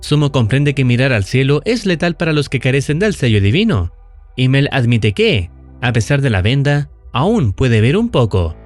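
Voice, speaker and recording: A man reading scripted narration in a measured, explanatory storytelling way, as in a recap and analysis video. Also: medium pitch, big pitch swings, a little mellow. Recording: music under the voice. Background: background music.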